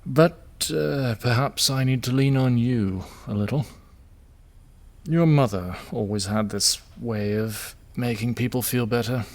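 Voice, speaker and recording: Weary widowed king and father, male actor, audio drama. Creaky voice, tender, halting, sentimental delivery. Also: mid-range voice, lightly acted.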